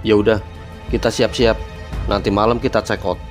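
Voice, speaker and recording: A man voicing a cautious, determined young amateur sleuth in a cartoon, with measured, casual speech. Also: on the low side, level tone, close to an everyday voice. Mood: reflective. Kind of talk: Indonesian cartoon dialogue, colloquial. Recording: music behind the voice.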